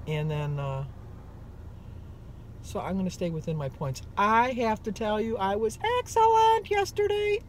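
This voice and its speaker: A woman's voice: mid-range voice, sing-song, a little slow. An older woman vlogging while driving, chatting in a rambling way with many ums and self-corrections. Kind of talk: casual chat. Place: in a car. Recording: loud car noise. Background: loud road and engine noise.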